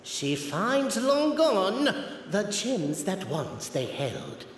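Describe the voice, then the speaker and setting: An actor playing a grandiose, declamatory poet in a game, reciting in theatrical style. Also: male voice, high-pitched, big pitch swings, extremely slow, faint music behind, exaggerated voice. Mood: mysterious, dramatic. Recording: echoey hall reverb.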